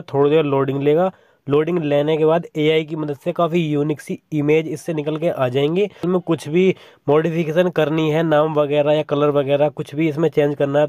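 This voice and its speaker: A man's voice: a little high, no echo, clean studio sound. A young man, giving step-by-step instructions as a tutorial channel host over a screen recording of his phone. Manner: steady step-by-step instructions, repeats "simple" often.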